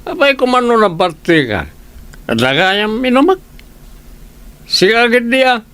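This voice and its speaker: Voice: mid-range voice, sing-song, a little slow, a bit bright, clean tone. An actor with an Ilocano accent playing a boastful, lovestruck village drinker in a comedy radio drama. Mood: teasing.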